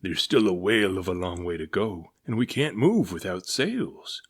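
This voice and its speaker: A man's voice: deep voice, very animated, normal speed, breathy edge, heavily acted. A man voicing a blustering, dramatic sailing-ship captain for a children's storybook, with salty, exclaiming sailor talk. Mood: gloomy, worried.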